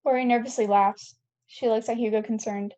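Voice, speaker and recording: A woman's voice: a little high, steady tone, a little fast, darkish tone. A woman reading scene headings and stage directions as the narrator of a scripted table read.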